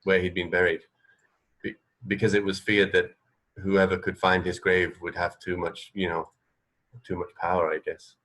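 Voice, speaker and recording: A man with a very deep voice talks casually as a radio co-host. He explains things haltingly, circling back over his phrases and rambling a little as he works toward his point. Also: level tone, fairly bright tone.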